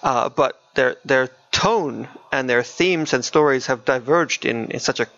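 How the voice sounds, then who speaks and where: A man's voice: mid-range voice, a little slow, gravelly, a bit bright, muffled recording. A man in a casual podcast discussion of games and films, hesitant and repeating words as he works through a thought. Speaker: podcast co-host.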